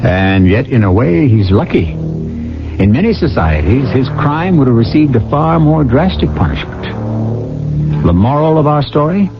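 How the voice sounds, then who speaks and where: A man hosting a radio mystery drama with measured, theatrical scripted narration. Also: medium pitch, very animated. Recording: dull old radio recording. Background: music.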